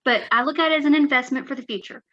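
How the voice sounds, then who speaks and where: A woman's voice: high voice, very fast, fairly bright tone, dull audio. A woman in her forties from West Texas with a West Texas accent, chatting as an author guest with many fillers and restarts. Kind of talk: casual interview chat.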